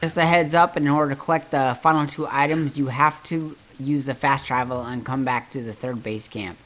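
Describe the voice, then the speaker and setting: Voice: medium pitch, steady tone, moderate pace, a bit bright, slight background noise. A host narrating a casual step-by-step video game guide.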